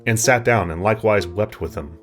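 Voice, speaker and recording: A man reading a fairy tale as scripted narration, in an expressive storybook manner. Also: fairly low pitch, a little fast, faint music behind.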